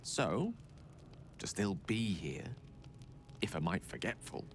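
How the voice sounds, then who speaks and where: A learned, self-assured game wizard with a lowish, very animated voice. He is genial and wordy, with pompous theatrical flourishes, over some background noise. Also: slow pace, exaggerated voice. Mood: thoughtful, reassuring. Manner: eloquent, theatrical, pompous phrasing. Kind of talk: video game character acting.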